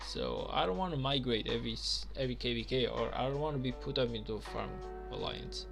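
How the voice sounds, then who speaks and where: A man's voice: medium pitch, fast, gravelly, a bit bright, soft background music. A young man from Albania, chatting casually on a game stream and answering viewer questions with lots of fillers. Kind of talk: casual live-stream chat.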